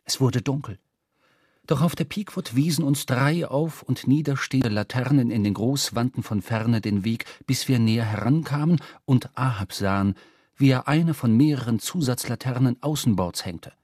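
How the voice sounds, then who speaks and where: Male narrator, medium pitch, storytelling for a radio play, measured n dramatic, very dry studio sound. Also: darkish tone, husky, no room sound. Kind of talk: scripted literary narration.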